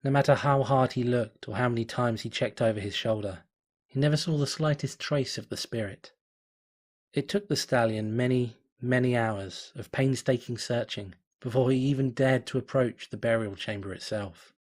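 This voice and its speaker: A man reading a fan fiction story aloud in a measured, deliberate way. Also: mid-range voice. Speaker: narrator. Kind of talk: fan fiction audiobook narration.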